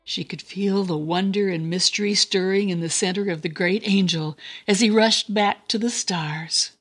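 A woman with a breathy, husky voice narrating a scripted story in a reverent, expressive manner. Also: mid-range voice. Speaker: show host and story narrator.

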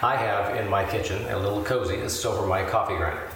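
A man hosting a faith program, reflecting in a measured way about ministry. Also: on the low side, fairly even intonation, a bit bright. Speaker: radio ministry host. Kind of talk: reflective talk. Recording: very echoey, distant, noisy.

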